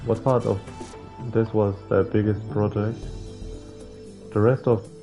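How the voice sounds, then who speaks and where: A young man reading a visual novel aloud in a halting, casual way, like a gaming streamer. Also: fairly low pitch, flat delivery, highs cut off. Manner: halting, stumbling reading with casual slangy interjections. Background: faint game music.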